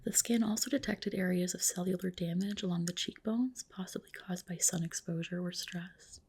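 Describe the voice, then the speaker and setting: A woman performs an ASMR roleplay as a skin specialist at a spa. Her voice is very breathy and mostly whispered, with a crackle of vocal fry. She explains each step gently and reassuringly. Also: lowish voice, steady tone, normal speed. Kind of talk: ASMR roleplay, personal attention.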